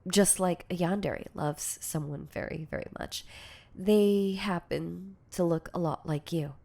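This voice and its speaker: A woman acts out a lovestruck yandere girlfriend in an intimate audio roleplay. Her voice is low for a woman and soft. She speaks very slowly, hesitating and stammering with shy, insecure devotion. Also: vocal fry. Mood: tender, devoted.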